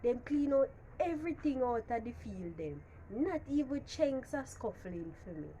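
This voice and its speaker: A woman's voice: a little high, very animated, a little slow, dark tone, highs cut off, voice pushed up. A woman voicing a hungry, grumbling male trickster spider in a storybook tale, plaintive and exclaiming in Jamaican patois. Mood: aggrieved. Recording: background noise.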